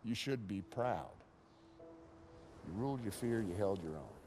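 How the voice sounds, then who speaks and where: A grave commander, war video game, slow, measured, very deep voice, music underneath. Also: male voice, expressive. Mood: solemn. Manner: slow, measured, solemn speech. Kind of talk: video game cutscene acting.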